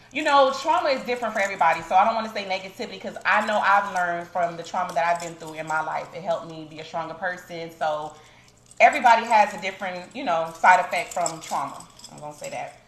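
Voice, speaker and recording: A woman's voice: fairly low pitch, fast, fairly bright tone. A woman hosting a podcast, interviewing in an informal, affirming and slangy conversational way. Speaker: podcast host. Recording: roomy echo.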